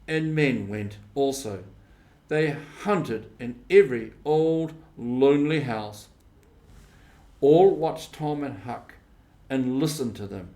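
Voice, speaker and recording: Guy reading an audiobook story, real slow, deliberate short phrases, medium pitch. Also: warm tone. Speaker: audiobook reader. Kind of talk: scripted storybook narration, simplified classic novel.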